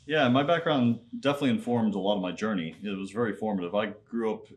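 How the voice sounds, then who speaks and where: A man's voice: fairly low pitch, fast. A man in his thirties from Nepal with an American accent, telling personal stories as an interview guest in a reflective, conversational way. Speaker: interview guest, MBA student and entrepreneur.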